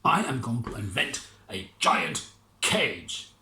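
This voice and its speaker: A man voicing a proud, self-assured male inventor in a children's story, explaining his plan slowly. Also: mid-range voice, big pitch swings, bright, forceful tone, exaggerated voice. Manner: deliberate, self-assured, drawn out. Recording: roomy with faint noise.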